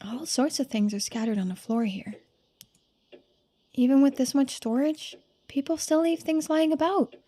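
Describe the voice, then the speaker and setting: Woman voicing a soft, high-pitched, thoughtful young game character. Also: moderate pace, close to an everyday voice. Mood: musing. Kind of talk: voiced game dialogue and narration.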